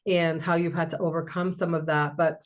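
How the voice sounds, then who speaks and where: A woman's voice: sits low, flat delivery, a little fast. A woman wellness coach hosting an interview, chatting in a friendly way with some fillers. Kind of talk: casual interview.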